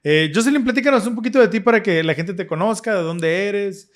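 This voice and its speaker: A man hosts a scripture discussion in an earnest, reflective way. His voice sits a little higher than most men's. He often pauses on fillers and restarts his sentences. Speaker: podcast host.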